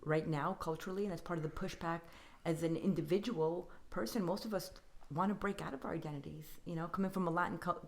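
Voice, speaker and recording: A woman's voice: on the low side, fast talker, creaky, few pauses. Earnest, thoughtful woman guest. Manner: thoughtful, earnest, speaks in building lists. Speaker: podcast guest. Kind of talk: reflective faith-based conversation.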